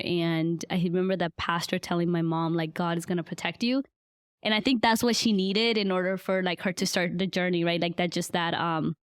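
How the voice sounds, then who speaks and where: A woman's voice: lowish voice, smooth, no room sound, studio quality. A woman in her twenties from Guatemala, a podcast guest telling her life story in an earnest, reflective way, with frequent fillers. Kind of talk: conversational life-story interview.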